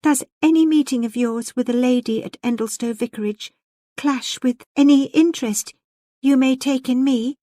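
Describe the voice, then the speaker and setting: Lady reader doing a young miss in an audiobook, high voice, pouty, coaxing, pushy, dry close sound. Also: a little slow, a little mellow, no room sound. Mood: pleading, insistent. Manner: importunate, emotional pleading. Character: petulant, coaxing young lady, pretty, proud and insistent.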